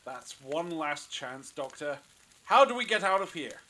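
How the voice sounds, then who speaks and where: A man's voice: a little high, very animated, unhurried, bright, forceful tone, full character voice, poor audio. A man playing a menacing, accusing leader in an audio drama, commanding and sneering as he interrogates. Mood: threatening. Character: menacing, accusing male leader bullying the Doctor.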